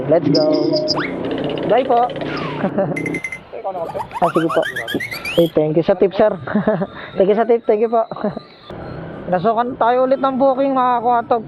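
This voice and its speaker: A man's voice: sits high, highs cut off, background noise. A Filipino man chatting casually as a motorcycle taxi rider and vlogger, polite and jokey, into a helmet intercom microphone. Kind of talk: casual ride vlog chat. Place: outdoors on a motorcycle on the road.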